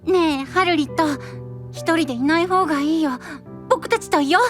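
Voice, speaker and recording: Sweet young boy knight, extremely high pitch, affectionate, pleading, fantasy voice drama, light music. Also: moderate pace, fairly bright tone. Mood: worried, pleading. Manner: tender, pleading. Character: sweet, affectionate young boyish knight, worried for his friend.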